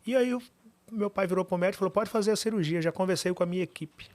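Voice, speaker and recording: A man, sitting as a podcast guest and telling his story. He talks fast and keeps driving his points home by repeating them. His voice sits a bit higher than most men's. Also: dry recording. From Minas Gerais, Brazil. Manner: fast, animated storytelling with emphatic repetitions.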